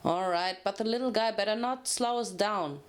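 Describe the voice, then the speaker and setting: A woman's voice: mid-range voice, moderate pace, close to an everyday voice. A woman voicing a practical female pony with a blunt, informal, folksy way of talking in a story reading. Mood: grudging.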